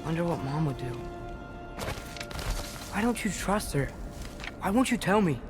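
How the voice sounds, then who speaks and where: High-voiced, stubborn teenage boy. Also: expressive, a little slow, over music. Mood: musing. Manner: casual, headstrong, youthful. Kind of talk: video game dialogue acting. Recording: noisy game ambience behind voice.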